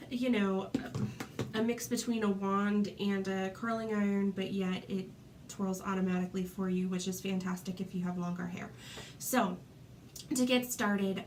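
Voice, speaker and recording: A woman's voice: medium pitch, a little mellow, slight background noise. Congested woman chatting through a tutorial. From Florida. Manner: chatty, many ums, demonstrating while talking. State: congested with a cold. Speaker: hair tutorial host. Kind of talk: casual tutorial chat.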